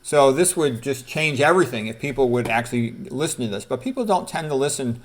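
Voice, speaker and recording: A man's voice: medium pitch, expressive, fairly quick. Middle-aged male doctor, emphatic informal presenter. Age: middle-aged or older. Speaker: doctor presenting quotes from a book. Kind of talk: informal read-aloud with commentary.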